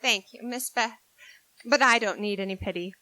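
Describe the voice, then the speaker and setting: A woman's voice: on the high side, normal speed, bright, forceful tone, no echo, poor audio. A woman playing a blunt, spirited teenage tomboy with emphatic theatrical delivery in a 1940s-style radio drama. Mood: proud.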